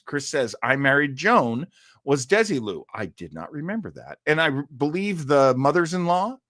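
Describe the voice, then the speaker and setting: Casual man host with animated, swinging pitch. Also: dry recording, clean studio sound. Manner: rambling, enthusiastic asides with frequent hesitations. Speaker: live-stream host of an old-time radio show. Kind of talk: casual chat, reading and answering viewer comments.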